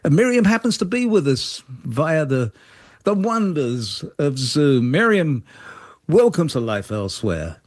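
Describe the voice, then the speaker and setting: Male radio host, animated pitch, meandering and hesitant delivery, dry studio. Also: a little slow, no room sound. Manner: rambling, hesitant, with repeated words. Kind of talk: radio interview.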